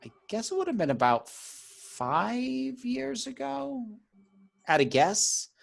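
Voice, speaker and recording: A baritone-voiced man, a guest in a casual interview, talking at length in an articulate, chatty way with many "like"s. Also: very animated, slow pace, vocal fry. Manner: articulate and talkative, lots of "like", laughs mid-sentence. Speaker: guest YouTuber.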